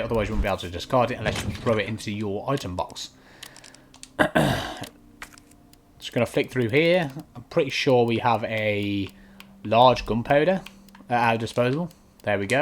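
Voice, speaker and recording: A man talks through a video game in a casual, explanatory way, guiding step by step. His pitch is average for a man. Faint keyboard and click noise sits behind his voice. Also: fairly bright tone. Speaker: video game walkthrough commentator. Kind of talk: casual gameplay commentary.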